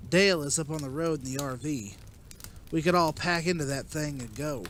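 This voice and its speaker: A man's voice: a little high, normal speed, fairly bright tone, slight background noise, lightly acted. A man playing an earnest, persuasive leader of apocalypse survivors in a dramatized comic. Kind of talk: dramatized comic-book voice acting.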